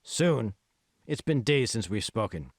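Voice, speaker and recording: A man's voice: on the low side, big pitch swings, unhurried, breathy edge, no room sound, studio quality, full character voice. A man reading the part of an awkward, insecure tech billionaire in an audiobook, with short, hesitant questions and answers. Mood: anxious. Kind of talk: audiobook character voice.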